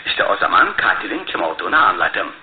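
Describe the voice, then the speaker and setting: An actor in a radio play as a shrewd, self-assured male detective who explains his case in a theatrical, deliberate way. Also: low voice, very animated, slight background noise. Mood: expository, confident. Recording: muffled, roomy radio drama recording.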